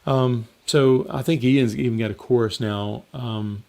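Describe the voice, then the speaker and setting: Gravelly, slightly hoarse man chatting. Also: medium pitch, fairly even intonation, measured pace, darkish tone. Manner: rambling and conversational, with frequent fillers and restarts. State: slightly hoarse, losing his voice. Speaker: mixing coach and show host. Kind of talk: casual live stream Q&A.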